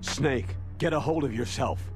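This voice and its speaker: An actor playing an authoritative older colonel in a video game, speaking in a firm, commanding manner. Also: male voice, medium pitch, a little slow, natural voice. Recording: music behind the voice.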